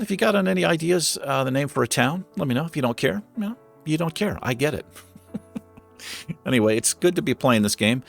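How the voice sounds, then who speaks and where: A man casually commenting on his city-building game while he plays, thinking aloud with lots of fillers, his voice going hoarse. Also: mid-range voice, fairly bright tone. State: losing his voice. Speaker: gaming channel host.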